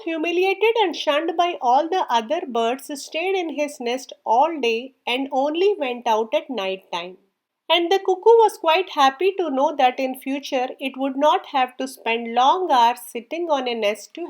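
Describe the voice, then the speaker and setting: A woman with a high-pitched voice narrates a story for children. She reads from a script in an expressive storytelling style.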